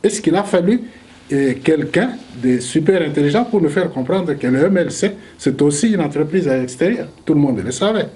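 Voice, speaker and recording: A man debating as a historian, measured and reasoning aloud with rhetorical questions. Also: a little high, expressive, dark tone. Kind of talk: debate argument.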